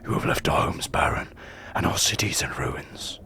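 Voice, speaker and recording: The whispering last human. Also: male voice, lively intonation, normal speed, pushed and bright, exaggerated voice. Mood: grieving. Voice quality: low whispery gravelly voice. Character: grieving last human man, cold and bitter.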